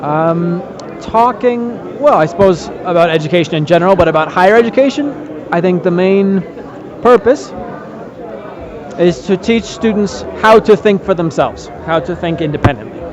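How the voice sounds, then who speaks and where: American man, late twenties, high voice, thoughtful interview answers, dull recording. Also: animated, normal speed. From northeastern United States. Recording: muffled sound in busy public place. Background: crowd and urban noise.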